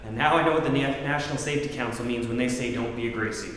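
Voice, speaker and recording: An actor playing a wisecracking, exasperated detective in a staged 1940s radio comedy. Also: male voice, mid-range voice, steady tone, rapid-fire, a bit bright, natural voice. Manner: brash, exasperated. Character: wisecracking hard-boiled radio detective man. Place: theatre stage. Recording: echoey theatre, faint hiss.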